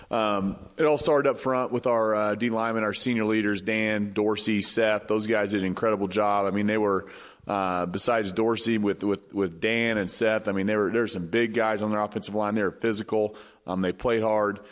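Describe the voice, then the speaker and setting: A defensive football coach talking casually with fillers in a pregame radio interview. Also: male voice, lowish voice, big-room sound.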